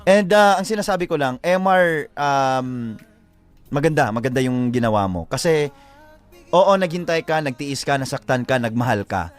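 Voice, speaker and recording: A man's voice: medium pitch, lively intonation, moderate pace, soft background music. A Filipino man co-hosting a live show, chatting casually and giving earnest love advice, repeating phrases for emphasis. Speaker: radio show co-host giving advice to letter senders.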